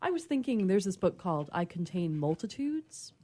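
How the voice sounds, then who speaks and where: A woman's voice: medium pitch, big pitch swings, normal speed, dark tone. A woman on a radio panel, talking casually and with enthusiasm. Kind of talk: casual book chat.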